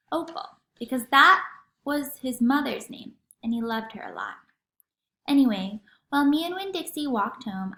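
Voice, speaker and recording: A woman reading a children's story aloud in an expressive, teacherly way, acting out the dialogue. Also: sits high, reverberant. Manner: animated, acts out dialogue.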